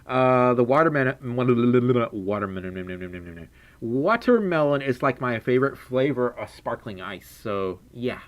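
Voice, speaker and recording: A man's voice: mid-range voice, expressive, measured pace, lo-fi sound. Giggly young man with a scratchy voice. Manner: playful and giggly, hums idly between chat messages. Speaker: streamer. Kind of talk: casual livestream chat reading donations.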